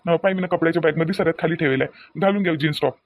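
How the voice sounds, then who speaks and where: A man's voice: fairly high pitch, very fast, phone-quality sound, lightly acted. A man voicing a young newlywed husband in a cartoon, doting and a little impatient, coaxing insistently in a Varhadi Marathi accent from Vidarbha. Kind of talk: cartoon dialogue acting in colloquial dialect.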